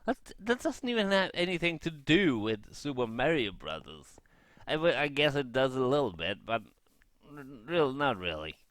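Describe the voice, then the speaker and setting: A man's voice: medium pitch, very animated, normal speed, a bit bright, no echo. A man giving casual gameplay commentary, rambling and thinking aloud as he shares blunt opinions. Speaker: gaming vlogger.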